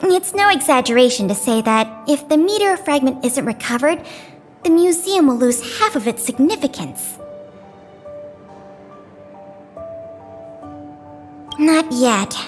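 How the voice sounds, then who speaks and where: A woman's voice: high-pitched, animated, normal speed. A woman voicing an earnest, friendly young tour guide in a video game, polite and eager to explain. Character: earnest, friendly young woman guiding visitors around a museum. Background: faint background music.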